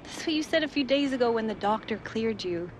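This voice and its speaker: A woman's voice: on the high side, expressive, brisk, background noise, close to an everyday voice. An actress playing a determined, blunt teenage girl footballer and younger sister in a video game drama. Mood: upbeat, coaxing. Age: young adult. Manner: blunt, challenging, impassioned. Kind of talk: dramatic game cutscene dialogue. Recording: clear music behind the voice.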